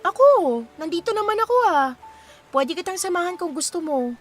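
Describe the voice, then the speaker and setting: A caring young woman speaks with sympathy and expression in a radio drama. Her voice is high, with a Filipino accent, over faint background music. She sounds like a devoted, supportive friend. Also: lively intonation, brisk. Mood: comforting. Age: young adult. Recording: music playing behind the voice.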